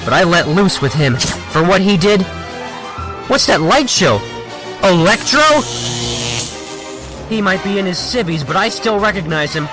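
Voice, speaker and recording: An actor playing a young, hot-headed, anguished superhero in an animated comic, speaking earnestly and emotionally. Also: male voice, sits high, measured pace, long pauses, music in the background, rough recording. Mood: determined.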